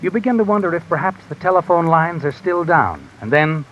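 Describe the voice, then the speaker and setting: A man's voice: on the high side, lively intonation, fairly quick, a little hiss or hum, close to an everyday voice. A man as a taunting, mysterious radio narrator. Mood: insinuating. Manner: second-person, knowing, needling. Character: mysterious male narrator who taunts the listener. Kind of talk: 1950s radio drama narration. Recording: lo-fi old radio broadcast. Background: dramatic music score.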